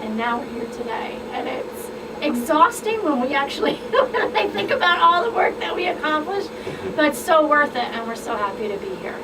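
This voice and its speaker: A woman's voice: high-pitched, big pitch swings, normal speed, far from the mic. A woman explaining her group's project on a radio talk show, enthusiastic and chatty. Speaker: guest expert. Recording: radio studio, off-mic, noisy.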